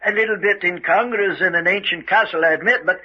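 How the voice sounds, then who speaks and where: Man acting sly, high-voiced genteel villain. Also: quick pace, close to an everyday voice. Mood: smug, genteel. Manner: genteel, hesitant, chuckling menace. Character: sly, mock-courteous villainous castle host holding men captive. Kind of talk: old-time radio mystery acting. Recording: dull lo-fi radio recording with noise.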